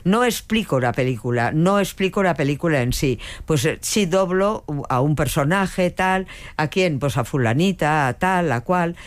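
A woman's voice: sits low, expressive, moderate pace. An older woman, a veteran dubbing actress with a strong voice, answering interview questions with frequent hesitations and restarts. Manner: hesitant, many fillers and restarts.

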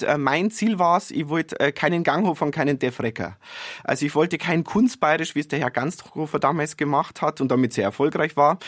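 A man's voice: on the high side, no room sound, clean studio sound. A man from Bavaria with a Bavarian accent, an author talking as a guest in a radio interview, enthusiastic and explaining with frequent hesitations. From Bavaria, Germany.

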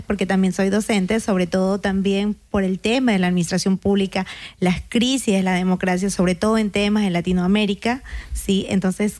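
A woman's voice: medium pitch, a little mellow. Brief: Ecuadorian woman, teacher and studio guest, mid-range voice, speaking off the cuff. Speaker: teacher, course student and radio guest. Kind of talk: impromptu spoken reflection. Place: radio studio.

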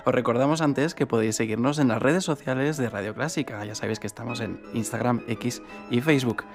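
A man's voice: medium pitch, a little fast. A man from hosting a classical music radio program, opening the show and introducing the guest in a formal announcer manner. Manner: formal, welcoming announcer delivery. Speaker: radio host. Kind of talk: scripted radio presenting.

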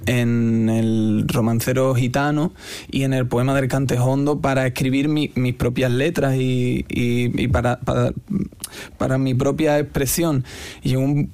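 Male interview guest, Cádiz Andalusian accent. Smooth, resonant voice, dry close sound, halting conversational delivery. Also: medium pitch, darkish tone, dry recording. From Andalusia, Spain. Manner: halting, searching for words. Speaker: guest musician. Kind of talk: casual interview talk.

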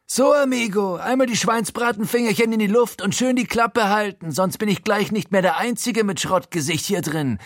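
A man's voice: high-pitched, moderate pace, few pauses, no echo, clean studio sound, pitched above the performer's own voice. A man voicing a brash, cheeky crook in an audiobook, cocky and slangy with mock-polite menace. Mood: cocky, threatening. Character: brash, cheeky male crook giving gleeful orders.

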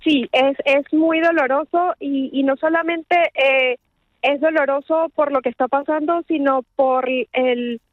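A woman's voice: sits high, steady tone, a little slow, dry recording, phone-quality sound. A Venezuelan woman interviewed on the radio, speaking earnestly and repeating words as she searches for them. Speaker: guest, charity organizer.

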